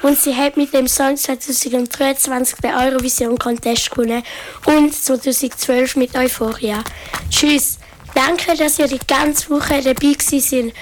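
A woman's voice: high voice, steady tone, normal speed, a little hiss or hum, low-quality recording. A young woman hosting a student radio show in a friendly, upbeat way. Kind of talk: casual hosting.

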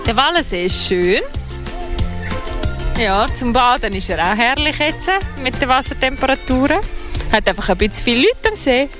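A woman with a slightly high voice and a Swiss German accent gives casual replies as a lakeside interviewee. Music is clearly audible underneath her speech. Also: animated, moderate pace. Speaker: lake visitor being interviewed. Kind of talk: casual street-style interview answers. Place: outdoors at a lakeside.

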